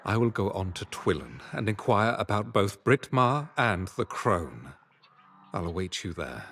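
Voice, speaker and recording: An actor playing an earnest, troubled adventurer in a fantasy game, speaking in formal, old-fashioned phrasing. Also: male voice, fairly low pitch, sing-song, unhurried, creaky, fairly bright tone, full character voice. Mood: solemn, determined. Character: earnest, troubled male adventurer, loyal to a missing friend. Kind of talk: fantasy game dialogue acting.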